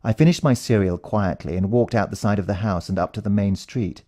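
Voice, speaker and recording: A man's voice: lowish voice, a little fast, dark tone. A British man narrating a first-person story in a dramatic, suspenseful way. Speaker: audiobook narrator.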